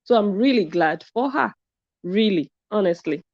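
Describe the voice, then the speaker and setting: A woman's voice: mid-range voice, animated, moderate pace, darkish tone, dry recording. A woman hosting a live commentary stream, reading viewer comments and stressing her points by repeating them. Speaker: commentary channel host. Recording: dull lo-fi sound.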